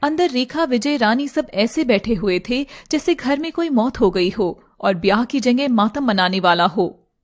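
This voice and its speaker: A woman's voice: on the high side, expressive, unhurried. A woman narrating a scripted story in a dramatic storytelling style.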